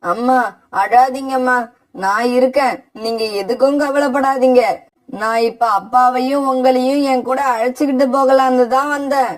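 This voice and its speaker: Woman voicing a high-voiced scheming young crow son. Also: natural voice, low-quality recording. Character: scheming, smooth-talking young male crow, a deceitful son. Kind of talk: Tamil animated bird fable dialogue.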